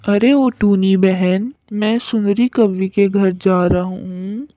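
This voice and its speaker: A woman's voice: medium pitch, steady tone, takes it slowly, close to an everyday voice. A woman voicing a smitten, sly male cartoon crow who is coaxing and eager to please. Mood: defensive, coaxing. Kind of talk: Hindi cartoon story dialogue. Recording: muffled lo-fi cartoon audio with echo.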